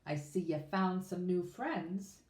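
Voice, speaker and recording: A woman's voice: fairly low pitch, slow, a little mellow. Woman playing a sneering schoolboy bully, echoey room. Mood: menacing. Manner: mocking, menacing, demanding.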